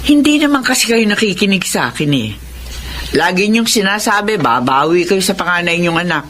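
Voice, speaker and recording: A woman's voice: mid-range voice, very animated, fairly quick, fairly bright tone. A woman playing a stern grandmother who lectures and reproaches her family in a radio drama. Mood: scolding. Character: stern, scolding grandmother, protective of eldest grandson. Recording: faint background noise.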